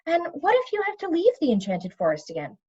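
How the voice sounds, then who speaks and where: A woman voicing a brisk, outspoken but fond princess with a direct manner in a storybook reading. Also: extremely high pitch, wildly swooping pitch, darkish tone, dull audio, voice pushed up, exaggerated voice. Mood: curious.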